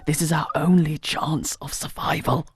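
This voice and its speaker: A man's voice: a little high, little pitch movement, normal speed, creaky, slightly rough, no echo, clean studio sound, exaggerated voice. A man playing a desperate, protective Sikh uncle in an audio drama, urgent and pleading. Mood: urgent, hushed.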